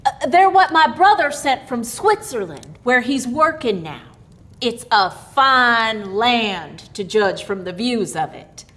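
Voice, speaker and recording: A woman's voice: fairly high pitch, sing-song, takes it slowly, a bit bright, full character voice. A woman doing a grumbling village husband. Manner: grumbling, dialect speech.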